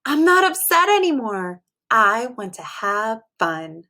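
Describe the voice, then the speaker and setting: Storybook read-aloud: small headstrong boy played by a woman, raised pitch, bedtime-protester type. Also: very animated, takes it slowly, sharp tone, full character voice. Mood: happy. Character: grumpy stubborn little boy at bedtime.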